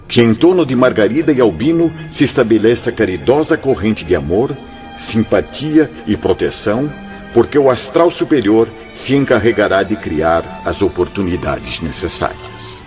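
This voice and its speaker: A low male Brazilian voice as a fatherly spirit elder in a radio drama. He is formal, serene and authoritative, with very expressive intonation. The sound is slightly dull, with music underneath. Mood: solemn, instructive. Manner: formal, solemn, measured, gently reassuring.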